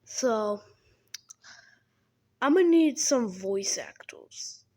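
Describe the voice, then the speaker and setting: Halting young woman, somewhat high voice. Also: expressive, darkish tone. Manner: halting, repeats and restarts words. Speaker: animation creator. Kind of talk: casual vlog appeal.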